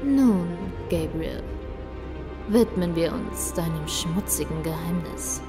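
Woman doing a cold bossy villain lady, audio drama, low slow taunting voice, music behind. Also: animated. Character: menacing, domineering woman villain leading faceless soldiers. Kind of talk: German audio drama acting.

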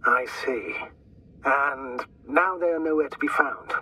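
An actor playing a measured, formal spaceship officer in a video game, talking over a radio link. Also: male voice, mid-range voice, pushed and bright, husky, distant mic, full character voice, poor audio. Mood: measured, sceptical. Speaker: game character, commanding crewmate. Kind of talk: naturalistic video game voice acting.

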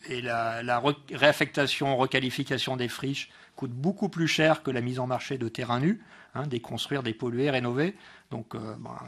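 A man giving a political speech as a local councillor, halting often and correcting himself as he goes. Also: medium pitch, a bit bright. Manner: hesitant delivery, frequent pauses and self-corrections. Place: council meeting room.

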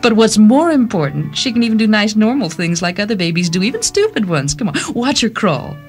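A woman's voice: mid-range voice, big pitch swings, fairly quick, darkish tone, soft background music, pitched above the performer's own voice, lightly acted. A woman voicing an affectionate, reassuring young mother in a radio story. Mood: fond, playful. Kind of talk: radio story character acting.

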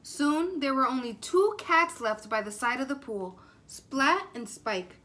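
A woman's voice: high-pitched, lively intonation, a little slow, sharp tone. A woman in her twenties reading a children's story aloud with expression. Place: room at home, indoors.

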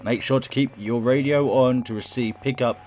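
A man's voice: mid-range voice, fairly even intonation, normal speed, a little mellow, a little hiss or hum, voice pushed up, lightly acted. Man putting on a solemn emergency radio announcer. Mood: official, projecting. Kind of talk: public service announcement read from game text.

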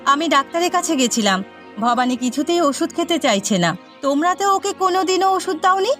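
A woman's voice: sits high, expressive, a little fast, a bit bright, faint music behind. A woman voicing an anguished, tender village mother with pleading, emotional delivery in a melodramatic moral-story cartoon. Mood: accusing.

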